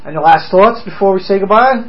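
A hoarse man hosting a casual podcast chat, telling stories in a quick, upbeat way. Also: sits high. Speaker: podcast host. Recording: dull lo-fi recording.

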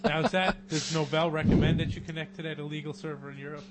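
A man co-hosting a casual interview, teasing the guest with quick quips. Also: a little high, fairly even intonation, very noisy. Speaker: co-host.

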